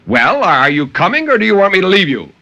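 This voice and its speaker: A man's voice: high voice, big pitch swings, brisk, pushed and bright, exaggerated voice. Muffled old-radio sea captain played by a man, dramatic. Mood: exasperated. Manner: theatrical, intense, formal diction. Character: sea captain recounting his ordeal, stern and dramatic. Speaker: storyteller. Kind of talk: 1950s dramatic horror radio narration. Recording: dull, lightly noisy 1950s radio recording.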